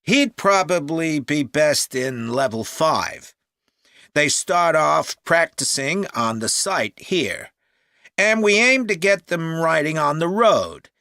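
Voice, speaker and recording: A helpful camp manager answering an enquiry. His voice is bright and animated, and he takes his time as he explains things. It sounds scripted and informative, recorded very dry. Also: on the high side, no room sound, heavily acted. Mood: helpful, upbeat. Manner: informative, measured, upbeat. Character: helpful, upbeat male cycle camp manager taking a call. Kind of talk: acted phone enquiry for a listening test.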